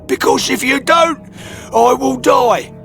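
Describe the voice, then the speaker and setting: A man's voice: very high-pitched, slow pace, fairly bright tone, faint music behind, pitched above the performer's own voice, exaggerated voice. A man voicing a big mastiff dog, earnest and urgent, in a children's storybook. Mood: desperate, pleading.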